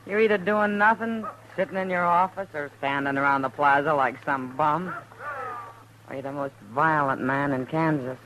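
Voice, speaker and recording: A woman's voice: low-pitched, normal speed, close to an everyday voice. Actress doing wry old west lady, american, teasing but caring, old radio show, bit of hiss. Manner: frank, teasing. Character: wry, worldly, caring frontier town woman. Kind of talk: 1950s radio western acting. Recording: lightly noisy old radio recording.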